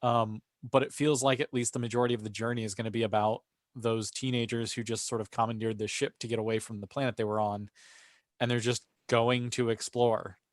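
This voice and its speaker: A man's voice: mid-range voice, little pitch movement, a little fast, no echo, studio quality. A man co-hosting a podcast in a casual, conversational fan discussion. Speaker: podcast host.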